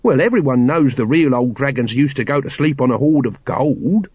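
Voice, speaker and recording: A man's voice: medium pitch, animated, normal speed, husky, dry recording, phone-quality sound. A man voicing a shifty, grubby male watchman with a sly, sullen manner.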